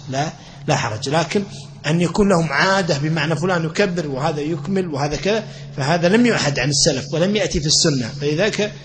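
A man's voice: a little high, noisy background. A man giving an explanatory religious lecture on a classical legal text, repeating phrases for emphasis as he teaches. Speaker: religious teacher of Islamic law.

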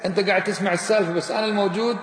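Fast-talking male preacher in an echoing hall. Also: high-pitched, fairly even intonation, a little hiss or hum. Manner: didactic, emphatic, with rhetorical questions and repetition. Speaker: Islamic preacher and teacher. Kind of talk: informal religious lesson, mixing formal and colloquial Arabic. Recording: echoey hall with long reverb.

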